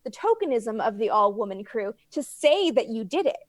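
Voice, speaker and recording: A woman with a Canadian accent speaking as a guest author in a casual interview, animated and emphatic. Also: fairly high pitch, moderate pace, dry recording.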